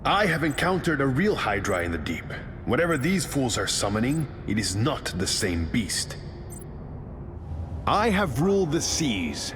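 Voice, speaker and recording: A man's voice: mid-range voice, very animated, unhurried, background noise. Haughty sea king, long reverb. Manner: pompous, imperious. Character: proud regal undersea king, haughty and grand. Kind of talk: video game character voice line. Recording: long reverb with music behind.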